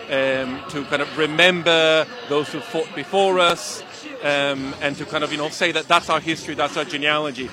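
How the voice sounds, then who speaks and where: A man from Venezuela with a Venezuelan accent, a university teacher being interviewed at an outdoor rally, talking hesitantly with many fillers. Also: a little high. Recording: music behind, outdoor rally. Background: music playing.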